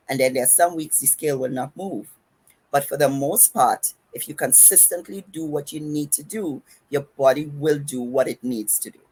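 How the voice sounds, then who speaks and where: A woman with a Trinidadian accent explains diet goals on a podcast, running her words together as she lays out each point. Her voice sits low and has a husky edge. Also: a little mellow, rough recording. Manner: runs her words together, emphatic and instructive. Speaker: podcast host and fitness coach. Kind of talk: casual podcast advice.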